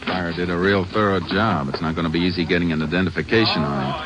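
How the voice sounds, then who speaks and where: Actor as a deep, steady Texas Ranger. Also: male voice, fast, close to an everyday voice. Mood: matter-of-fact. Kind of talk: 1940s-50s radio drama acting. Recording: old radio recording, crowd murmur behind.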